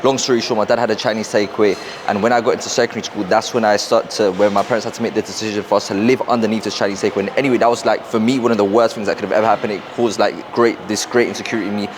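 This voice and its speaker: Fast-talking London man in a gym. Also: fairly low pitch, fairly even intonation, noisy background. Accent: London English. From South London, England. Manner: fast, rambling, repeats himself. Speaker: fitness and mindset coach. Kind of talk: casual motivational vlog to camera. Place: busy gym. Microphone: clip-on mic.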